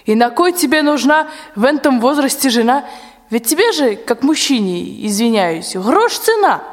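A woman's voice: sits high, very animated, moderate pace. A young female student playing a mocking, scolding old nanny in a rhymed folk-tale radio play. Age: young adult. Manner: mocking, folksy rhymed declaiming. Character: sharp-tongued, scolding old nanny to the tsar. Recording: long hall reverb.

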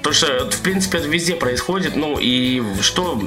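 A man co-hosting a casual radio news chat, talking in a joking, rambling and halting way. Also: on the high side, expressive. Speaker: radio show co-host. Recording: music playing under the talk. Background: music.

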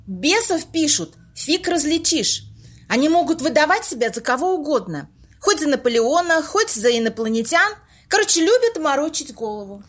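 Bossy, snippy woman running a séance in a radio play, amateur actress: very high voice, grumbling colloquial delivery. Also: very animated, normal speed, fairly bright tone. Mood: explaining. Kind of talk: amateur audio play acting.

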